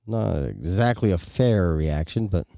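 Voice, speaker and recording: A man with a low, mellow voice, with plenty of vocal fry. He sounds close and dry, chatting casually as a co-host. He is laid-back and drops in jokey remarks. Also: animated, unhurried, dry recording. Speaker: podcast co-host. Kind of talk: casual reactive chat.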